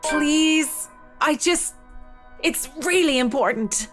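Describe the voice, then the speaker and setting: Woman acting a high-voiced, earnest young lady. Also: slow, fairly bright tone, faint music behind. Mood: pleading, grateful. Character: earnest, insistent young woman in a story game.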